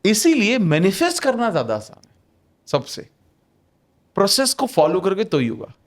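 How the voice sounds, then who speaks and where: A man with a fairly high voice talks as a podcast guest, his pitch swinging widely as he explains. He drives his points home with rhetorical questions and slips English phrases into his sentences. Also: wildly swooping pitch, moderate pace. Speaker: podcast guest, manifestation author. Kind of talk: explanatory conversational talk.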